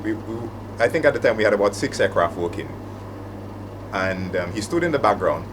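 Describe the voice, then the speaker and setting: A man's voice: on the low side, big pitch swings, brisk, background noise. A middle-aged man with a Saint Lucian accent, talking reflectively and conversationally as a panelist. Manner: conversational, anecdotal. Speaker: panelist, aviation operations manager. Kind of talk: reflective panel talk with anecdotes.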